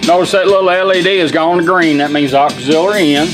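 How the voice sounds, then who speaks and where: A man with a somewhat high voice walks through a product demonstration. He talks plainly and informally, explaining each step in a folksy way. Music plays clearly behind him. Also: steady tone, fast talker. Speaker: vintage car radio restorer demonstrating a product.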